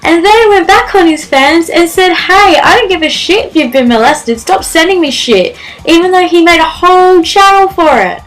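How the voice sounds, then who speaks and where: A woman's voice: very high-pitched, animated, moderate pace, few pauses, a bit bright, faint music behind, poor audio. A woman speaking with indignation in a rant. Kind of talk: ranting to camera.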